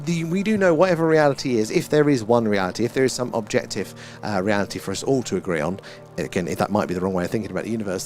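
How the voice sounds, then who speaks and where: A man's voice: medium pitch, a little mellow. A British man hosting a live stream, chatting casually in a rambling, musing way with some mild swearing. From United Kingdom. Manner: musing, loosely philosophical, mildly sweary. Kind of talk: casual rambling livestream chat.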